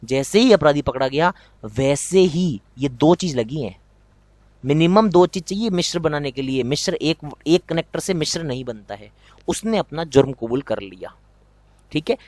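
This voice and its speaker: A man's voice: fairly high pitch, expressive, moderate pace, a little mellow, no echo. A young man with a Rajasthani accent teaching an exam prep lesson, repeating key points and asking students rhetorical questions.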